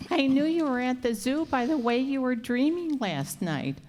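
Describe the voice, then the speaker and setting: A woman's voice: high-pitched, lively intonation, moderate pace, darkish tone. A woman playing a fussing, motherly older landlady, earnest and coaxing, in an old-time radio comedy. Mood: concerned, fussing. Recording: echoey hall, live stage reading.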